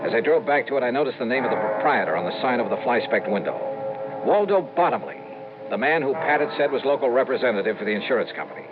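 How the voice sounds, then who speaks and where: An actor playing a wry insurance investigator who also narrates the story, in old radio drama style. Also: male voice, mid-range voice, lively intonation, loud music behind the voice, close to an everyday voice. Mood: narrating. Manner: wry, brisk first-person narration. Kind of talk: 1950s radio drama acting. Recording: noisy phone-like old radio broadcast.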